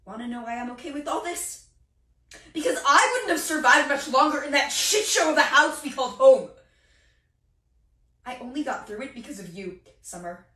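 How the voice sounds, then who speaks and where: A woman's voice: fairly high pitch, animated, quick pace, natural voice. An amateur actress performing a stage monologue as an angry, wounded teenage girl who speaks with emphatic, impassioned feeling. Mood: angry, defiant. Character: angry, wounded teenage girl grateful to her rescuing sister. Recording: roomy, distant microphone.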